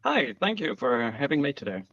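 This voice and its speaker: A man's voice: mid-range voice, very animated, brisk, a bit bright, no room sound, highs cut off. A man from Belgium answering interview questions, hesitant with many fillers. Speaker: guest.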